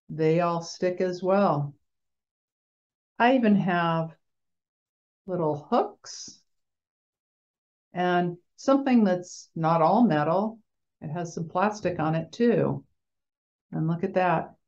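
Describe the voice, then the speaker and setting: A woman in her fifties, a chaplain giving a gentle, playful children's chapel talk from a small office over a webcam. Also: fairly low pitch. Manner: gentle, playful, slow and clear like talking to children. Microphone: webcam video call. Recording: slightly dull lo-fi webcam audio.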